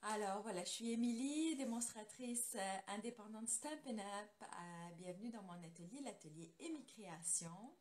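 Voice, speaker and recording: A woman's voice: mid-range voice, expressive, moderate pace, hardly any pauses, darkish tone. A woman from France hosting a live craft tutorial from her home studio, chatting loosely and greeting viewers by name. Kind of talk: casual live tutorial chat. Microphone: computer camera mic.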